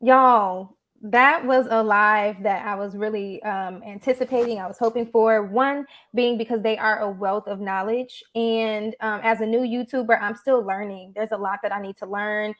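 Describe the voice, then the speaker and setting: A woman's voice: medium pitch, fairly even intonation, moderate pace, few pauses, a bit bright. A woman hosting a live online talk show, chatty and appreciative, with frequent fillers. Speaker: host. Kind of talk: casual livestream chat.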